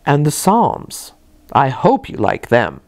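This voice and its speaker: A woman voicing a stern, pompous, pious middle-aged gentleman in a storybook reading, moralizing with deliberate weight. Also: extremely low pitch, wildly swooping pitch, pitched below the performer's own voice, exaggerated voice. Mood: shocked disapproval. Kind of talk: dramatized audiobook reading.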